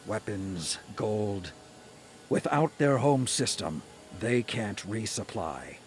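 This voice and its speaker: A firm, low-voiced commander. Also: male voice, lively intonation, slow, soft background music. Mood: commanding.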